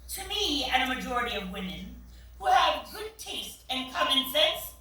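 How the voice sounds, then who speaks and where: An actress plays a haughty, fussy woman in a stage comedy. Her bright voice swoops widely as she scolds with condescending indignation. She is recorded from afar in an echoey theatre. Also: sits high, big pitch swings, moderate pace, exaggerated voice. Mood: indignant, scolding. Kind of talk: stage acting in a comedy play reading. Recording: echoey theatre, far mic.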